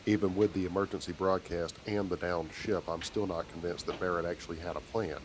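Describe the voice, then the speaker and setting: An actor playing a commanding, protective starship captain in a video game, speaking in a measured, dry, authoritative way. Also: male voice, low-pitched, steady tone, background noise, natural voice. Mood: skeptical. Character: steady, commanding male starship captain, dryly skeptical, protective. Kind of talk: video game character dialogue.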